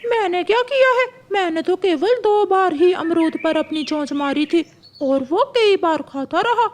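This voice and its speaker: Woman as a squeaky, sulky cartoon parrot. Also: animated, unhurried. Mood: indignant. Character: touchy, sulky big-beaked male parrot in a cartoon.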